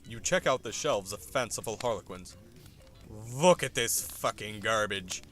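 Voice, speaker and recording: A man's voice: on the low side, animated, a little slow, a bit bright, faint music behind. A man reading scripted comic narration in a wry, theatrical manner.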